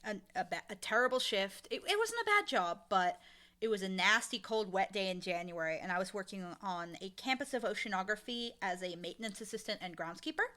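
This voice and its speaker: A woman being interviewed, talking in a casual, informal and animated way about her work. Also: medium pitch, fairly quick. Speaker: interviewee, audio drama showrunner and voice actor.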